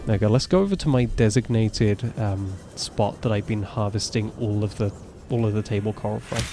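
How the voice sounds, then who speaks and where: Young man, deep voice, rambling gameplay chat, clear music playing behind. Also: a little fast. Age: 20s. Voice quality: naturally deep voice. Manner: chatty, rambling, self-correcting. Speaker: gaming streamer. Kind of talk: casual live gameplay commentary.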